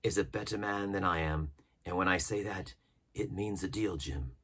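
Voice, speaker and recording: A man voicing a wry, kindly ship's doctor in a storybook, with measured, genteel, conversational delivery. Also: deep voice, a bit bright, slightly rough. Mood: earnest. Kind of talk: storybook character reading.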